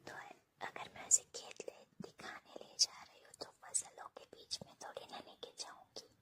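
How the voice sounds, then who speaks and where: A woman talking in a breathy whisper, coy and teasing, in an intimate phone conversation. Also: moderate pace, bright, forceful tone. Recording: close, breathy phone call recording.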